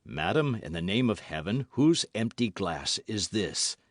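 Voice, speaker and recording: A man's voice: lowish voice, sing-song, measured pace, dry recording, clean studio sound, voice pushed down. A man voicing a grave older man who speaks in a broken, murmured way.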